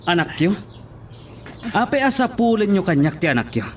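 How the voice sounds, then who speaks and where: A man performs a defiant man in an audio drama who refuses to back down. His voice sits rather high and sounds indignant and challenging, over light background noise. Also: big pitch swings, moderate pace. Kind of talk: Ilocano audio drama acting.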